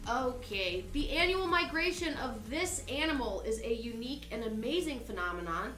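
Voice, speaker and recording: A woman's voice: a little high, animated, normal speed, fairly bright tone, a little hiss or hum. A woman hosting a casual live-stream trivia game, reading questions aloud and bantering with her co-host. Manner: chatty, giggly, reads questions then banters. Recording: echoey room with faint music.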